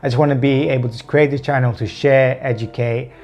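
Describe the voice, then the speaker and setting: A man's voice: medium pitch, steady tone, fairly quick, a little mellow, soft background music. A man in his thirties talking to camera in a personal vlog, earnest and open, stammering with repeated words and blocks left unedited. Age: 30s. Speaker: vlogger.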